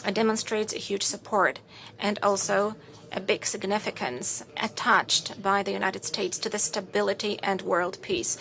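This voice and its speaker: A woman interpreting a formal state speech into English with an even, measured delivery and occasional hesitations. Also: medium pitch.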